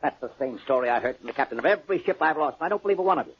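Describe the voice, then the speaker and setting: A man's voice: medium pitch, lively intonation, very fast, dull audio, slight background noise, natural voice. A man playing an irate, skeptical shipping-company owner in an old-time radio drama, blustering and incredulous.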